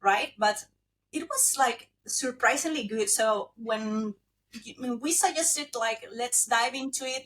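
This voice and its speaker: A woman with a Spanish accent, speaking as a guest on a podcast. Her voice sits fairly high and she talks with lively energy. She sometimes stops to hunt for the right word. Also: low-quality recording. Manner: animated, searching for words. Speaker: linguist guest. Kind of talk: casual podcast chat.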